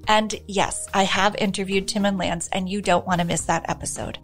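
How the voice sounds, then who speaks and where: A woman's voice: medium pitch, steady tone, normal speed. A woman podcast host delivering a scripted promo, addressing listeners directly. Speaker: true crime podcast host. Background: music.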